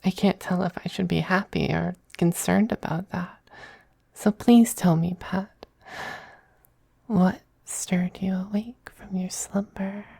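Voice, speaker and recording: Man voicing a breathy, whispering femboy vampire queen. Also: high-pitched, steady tone, darkish tone, dry recording, exaggerated voice. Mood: soothing, curious. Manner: slow, condescending, doting. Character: dominant, possessive, tender femboy vampire queen soothing a pet. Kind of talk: soft-spoken ASMR roleplay.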